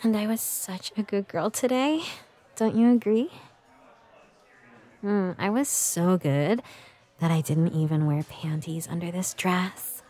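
Woman acting as playful adult girlfriend, intimate audio roleplay, unhurried, breathy, soft mellow tone, teasing. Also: fairly low pitch. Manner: breathy, slow, teasing, often dropping into whispers.

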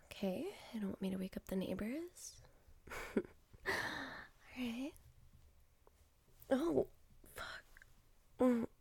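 Slow, whispering young woman with vocal fry. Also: fairly high pitch, animated.

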